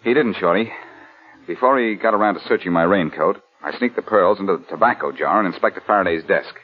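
An actor playing a wry, quick-witted, confident rogue detective in an American radio crime drama of the 1940s. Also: male voice, deep voice, slightly rough. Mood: scheming. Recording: muffled old radio recording.

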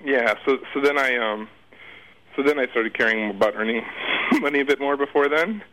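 A man's voice: medium pitch, expressive, moderate pace, bright, forceful tone, poor audio. A man speaking as a guest in a casual interview, talking in a rambling, hesitant, informal way with some slang.

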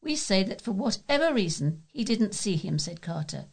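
A woman's voice: medium pitch, sing-song, moderate pace, gravelly, breathy edge. A woman with a British accent reads local news articles aloud as a volunteer newsreader.

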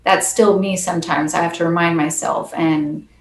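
A soft-spoken woman, a percussionist guest, chatting earnestly and laughing often in an interview. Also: fairly low pitch, fairly even intonation, normal speed, roomy sound, a little hiss or hum, low-quality recording. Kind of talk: casual interview chat.

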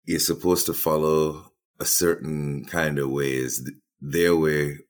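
A man, a guest in an interview, speaking reflectively and haltingly, often repeating words as he gets started. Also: rumbling low, fairly even intonation. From Trinidad. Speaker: boom operator and filmmaker.

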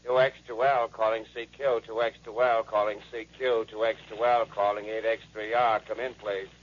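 A man's voice: fairly low pitch, little pitch movement, normal speed, natural voice. An actor playing a male military radio operator in a 1930s radio drama, calling stations in clipped, terse reports. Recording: dull lo-fi 1930s radio recording.

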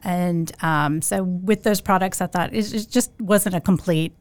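Chatty woman from Louisiana, low voice. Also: measured pace, no echo. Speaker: guest, skincare shop owner. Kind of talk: casual podcast interview.

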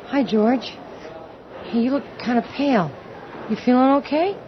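A woman's voice: sits high, a little slow. A woman playing a concerned, casual young woman in an old radio drama, hesitant and soothing. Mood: awkward, casual. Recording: dull old radio recording with hiss.

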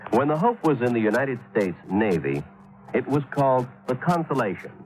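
A man announces on vintage radio with earnest formality. His voice sits fairly low and moves expressively through measured, scripted phrases. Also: low-quality recording. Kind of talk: scripted public service narration.

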